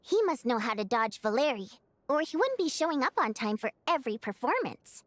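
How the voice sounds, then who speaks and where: An actress voicing an upbeat young woman who leads an underground group in a video game, speaking briskly and confidently as she lays out a plan. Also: sits high, sing-song. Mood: scheming. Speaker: game character. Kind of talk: voiced video game dialogue.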